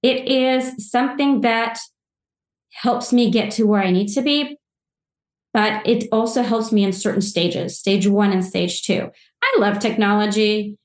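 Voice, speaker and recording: A woman's voice: mid-range voice, steady tone, measured pace. A woman in her forties from Poland, answering podcast questions about social media marketing in an enthusiastic, rambling way with frequent restarts. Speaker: social media agency guest. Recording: roomy echo.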